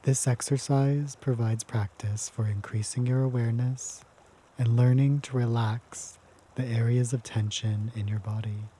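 A man leads a guided meditation in a gentle, unhurried manner, leaving long pauses between phrases. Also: mid-range voice, steady tone, a little hiss or hum. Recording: music behind the voice. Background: prominent sound bath instrumental music.

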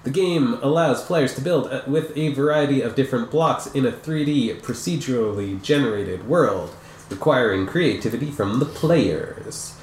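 A man's voice: mid-range voice, lively intonation, normal speed, reverberant, a little hiss or hum. A man in his thirties co-hosting a casual podcast, stuffed up from a cold, rambling and joking with lots of fillers. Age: 30s. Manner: rambling, jokey, many fillers. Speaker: podcast co-host. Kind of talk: casual chat.

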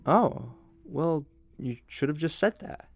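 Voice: medium pitch, very animated, slow pace, a little hiss or hum. An actor playing a courteous, officious hatchery tour guide in an audio drama, explaining things with customer-service politeness.

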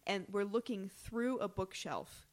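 A woman's voice: mid-range voice, animated, measured pace. A woman co-hosting a podcast, chatting casually and jokily about a TV show with frequent giggles. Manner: giggly, jokey, informal. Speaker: podcast co-host. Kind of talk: casual TV recap banter.

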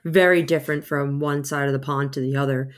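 A woman's voice: a bass voice, fairly even intonation, a little fast. A young woman from New York, a visual effects artist, chatting as a podcast guest in a friendly, effusive way and laughing often. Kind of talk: casual interview chat.

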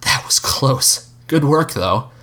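Man reading a quick, no-nonsense young woman on comms. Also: medium pitch, lively intonation, fairly bright tone, voice pushed up. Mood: brisk. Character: brisk young woman in an earpiece.

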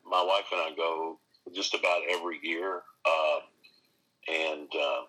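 Deep-voiced man with a bright, husky edge. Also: unhurried, poor audio. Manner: earnest, many restarts, animated emphasis. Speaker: pastor and seminary teacher. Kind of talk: conversational interview answers.

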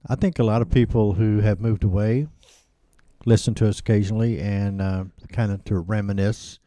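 A man's voice: on the low side, steady tone, moderate pace, mellow, dry recording. A man co-hosting a church podcast in casual chat, folksy and self-deprecating, often restarting his sentences. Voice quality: says he sounds like a hick. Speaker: podcast co-host.

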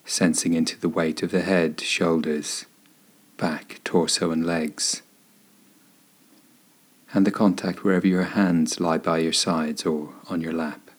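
A man with a low, husky voice leads a guided meditation. He gives gentle, soothing instructions and leaves unhurried pauses between phrases. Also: steady tone. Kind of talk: guided body scan meditation narration.

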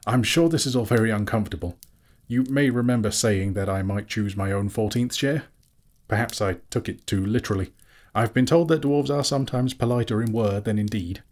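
A small storybook hobbit, played by a male reader, squeaky and pitched well up. He is prim and fussy, politely indignant and plucky even when flustered. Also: a little fast, natural voice. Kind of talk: dramatic storybook reading.

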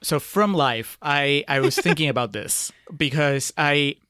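A man's voice: fairly high pitch, unhurried, pushed and bright, clean tone, dry recording, clean studio sound. Hesitant man from Peru chatting casually. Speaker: podcast guest. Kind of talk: casual chat, reminiscing.

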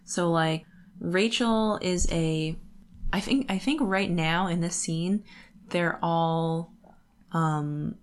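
Young woman, slightly low voice, chatty co-host. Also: takes it slowly, clear, resonant tone. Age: young adult. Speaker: podcast co-host. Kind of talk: casual book discussion chat.

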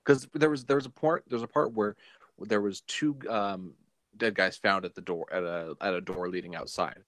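A man co-hosting a casual film discussion, halting and often restarting his sentences. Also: fairly low pitch, a bit bright, no room sound. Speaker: podcast co-host.

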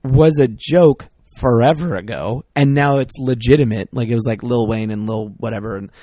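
A man's voice: mid-range voice, normal speed, mellow, no echo. A middle-aged man chatting casually as a podcast co-host, rambling and exasperated, often cutting himself off mid-word. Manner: rambling, exasperated, self-interrupting. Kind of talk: casual chat.

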